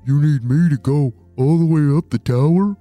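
A man's voice: a little high, normal speed, mellow, soft background music, natural voice. A man voicing a timid, reluctant, dim-witted ninja henchman in a children's story, hesitant and repeating himself.

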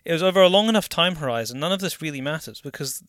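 A man interviewing a guest in a relaxed, casual conversation, with easy laughs and joking asides. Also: on the high side, very fast, dry recording, studio quality.

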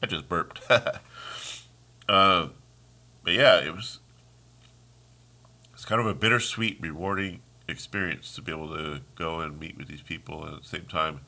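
A man's voice: a bass voice, measured pace, vocal fry, long pauses, bright, forceful tone. A congested man hosting a solo podcast, talking in a heated, rambling rant with many restarts. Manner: heated, ranting, trails off and restarts. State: congested, sniffling. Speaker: podcast host. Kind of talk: casual solo podcast monologue.

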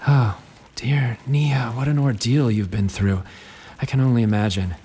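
A man playing a kindly, eager young host in an audio drama, gentle and solicitous. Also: medium pitch, measured pace, lightly acted. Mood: soothing concern.

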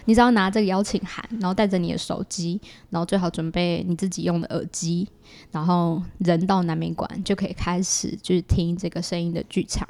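Mellow-voiced Taiwanese woman explaining. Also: on the low side, steady tone, brisk. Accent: Taiwanese Mandarin. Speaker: guest artist. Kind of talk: casual explanatory chat.

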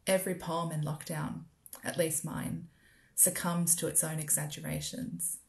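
Australian woman, spoken-word writer, lowish voice with heavy fry, measured literary read. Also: little pitch movement. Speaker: writer performing own work.

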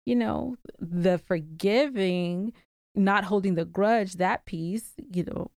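A young adult woman co-hosting a podcast, talking candidly and reflectively in casual chat, quick to break into playful laughter. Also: mid-range voice, gravelly, darkish tone, no room sound, clean studio sound. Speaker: podcast co-host.

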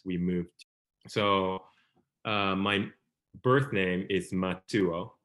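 A man's voice: deep voice, a bit bright. A Japanese man in his thirties, a native Japanese speaker, telling his story as a guest with many fillers and restarts. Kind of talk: conversational storytelling.

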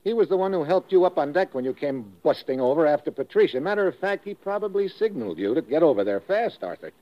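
An actor playing a tough, wry American secret agent who tells his story in terse, deadpan 1950s radio drama style. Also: fairly high pitch, fast. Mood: coolly confident. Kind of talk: 1950s radio drama acting, first-person hard-boiled narration. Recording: muffled vintage radio recording with music.

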